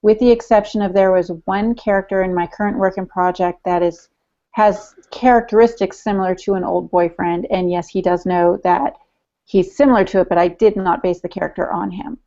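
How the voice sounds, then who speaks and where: A woman's voice: mid-range voice, steady tone, a little fast, warm tone. A woman co-hosting a podcast, talking casually and conversationally about herself. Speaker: podcast co-host. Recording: dull lo-fi podcast audio.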